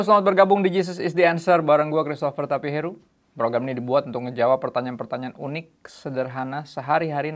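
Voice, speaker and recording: Gravelly-voiced male church show host. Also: mid-range voice. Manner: relaxed slangy delivery, quoting scripture. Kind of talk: casual preaching talk.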